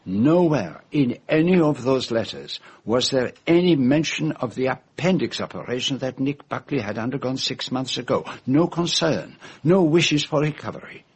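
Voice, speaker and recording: An actor playing a fussy, shrewd foreign gentleman detective, speaking in precise, formal phrases in a radio drama. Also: mid-range voice, animated, moderate pace, hardly any pauses, husky. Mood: dramatic deduction. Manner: precise, theatrical, formal phrasing with French forms of address.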